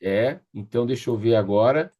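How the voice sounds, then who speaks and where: A man's voice: medium pitch, normal speed, mellow. A Brazilian man facilitating an online class, giving informal, directive instructions and checking in with participants.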